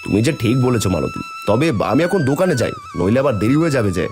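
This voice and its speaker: A man voicing an honest, good-natured sari seller and father, speaking earnestly in an animated moral story. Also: medium pitch, fast talker, over music. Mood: kindly.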